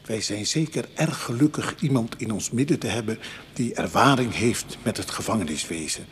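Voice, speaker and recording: An actor playing a formal, courteous committee chairman in a radio drama, with a very husky voice and pompous, measured delivery. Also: fairly low pitch, animated, a little hiss or hum, close to an everyday voice. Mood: formal welcome. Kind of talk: Dutch radio drama acting.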